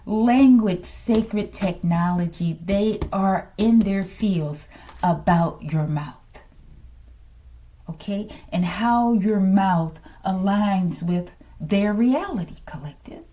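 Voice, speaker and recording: A woman giving an improvised, informal tarot reading to an online audience, asking rhetorical questions, tagging her phrases with "okay" and dropping into hushed asides. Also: mid-range voice, slow. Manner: repeats rhetorical questions and verbal tags like "okay". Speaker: tarot reader, host of the reading.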